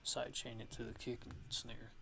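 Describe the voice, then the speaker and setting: A man giving a casual music production tutorial in a halting, laid-back, ASMR-style way, talking offhand. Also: medium pitch, completely flat delivery, vocal fry, background noise.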